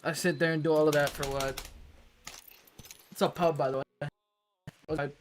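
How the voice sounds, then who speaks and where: A man's voice: fairly high pitch, little pitch movement, moderate pace. A man doing live gaming commentary as a streamer, blunt and slangy, cursing casually and grumbling. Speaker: game streamer.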